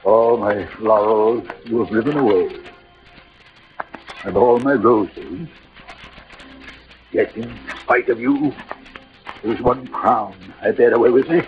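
A proud, dying swordsman hero declaims verse defiantly and slowly, with huge swings of pitch and weighty pauses. It is theatrical 1940s radio drama on a muffled vintage recording with music behind. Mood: proud, solemn.

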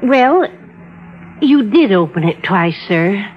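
A woman's voice: mid-range voice, sing-song, slow, slight background noise. An actress playing a deferential, fussing, worried cleaning woman in a vintage radio drama. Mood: fussing, hesitant. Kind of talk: 1940s-50s radio drama acting. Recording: muffled old radio recording.

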